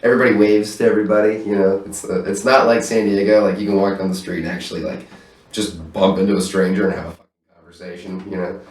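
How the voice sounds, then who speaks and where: A man's voice: deep voice, little pitch movement, quick pace, darkish tone. A man talking loosely in a casual interview, swearing freely and laughing often, in his own apartment room. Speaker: stage manager interviewed as guest. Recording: roomy echo, voice far from mic.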